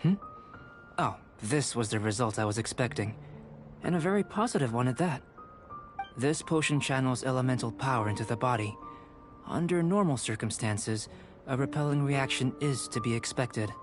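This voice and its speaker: A man voicing a calm, cerebral young alchemist scholar in a video game, measured, formal and coolly detached. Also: mid-range voice, natural voice. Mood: matter-of-fact. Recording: music behind the voice.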